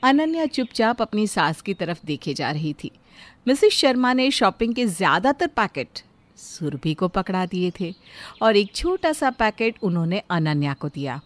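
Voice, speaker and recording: A woman narrating a moral family story in a steady storytelling manner. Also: mid-range voice, normal speed, no echo.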